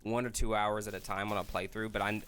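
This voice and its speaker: Low-voiced man chatting about games. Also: level tone, fast talker, a bit bright, slight background noise. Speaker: gaming show co-host. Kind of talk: casual chat about video games.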